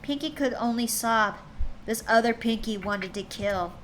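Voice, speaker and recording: A woman's voice: a little high, a little slow, fairly bright tone, a little hiss or hum, poor audio. A woman streamer reading fan fiction aloud to her chat, chatty and tripping over words now and then.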